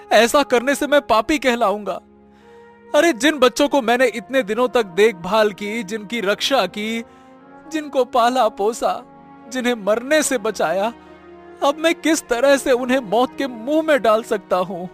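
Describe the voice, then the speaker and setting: A man's voice: very high-pitched, unhurried, soft background music. A man playing a distressed poor villager husband in a cartoon moral story, scolding and lamenting dramatically. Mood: anguished, fearful.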